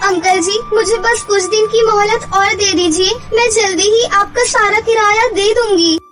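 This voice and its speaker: A woman's voice: very high-pitched, normal speed. A woman voicing an earnest, polite young working woman in a cartoon story, with a respectful, sincere manner. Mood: pleading. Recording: music under the voice.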